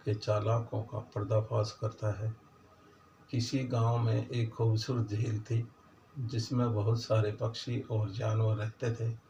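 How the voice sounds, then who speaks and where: A man reading a moral story for children in a plain, halting way, with little change for the characters. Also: fairly low pitch, gravelly, warm tone. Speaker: storyteller, channel host. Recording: distant mic, echoey room, dull, faint noise.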